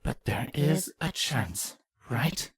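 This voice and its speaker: An actor playing a regal changeling queen in an audio fan fiction drama, husky and slow. She speaks with grave deliberation, a grieving mother with vengeance in her. The sound is close and dry. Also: mid-range voice, big pitch swings, dry recording, heavily acted. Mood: shocked, pleading.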